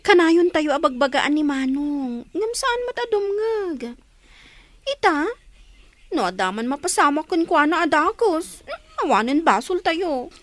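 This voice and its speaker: High-voiced, earnest young village daughter. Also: female voice, big pitch swings, measured pace, no room sound. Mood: frustrated, concerned. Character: young village daughter, dutiful, concerned about her older brother. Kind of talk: old radio drama acting in Ilocano.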